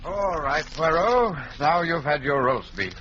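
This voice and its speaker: An actor playing a sensible, exasperated gentleman sidekick with an urgent, chiding manner in a 1940s radio play. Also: a little high, expressive, a little slow. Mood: urging. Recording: muffled, noisy 1940s radio recording.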